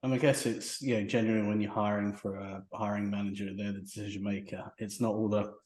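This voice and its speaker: Guy hosting a podcast, lowish voice, casual questions, roomy echoey sound. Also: little pitch movement, quick pace, a little mellow. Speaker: podcast host, interviewer.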